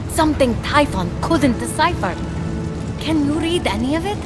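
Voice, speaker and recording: A woman's voice: sits high, lively intonation, moderate pace. A woman voicing an earnest, upbeat young heroine in a video game who explains and encourages her companion. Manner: eager, encouraging, urgent in danger. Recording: voice over clear game music.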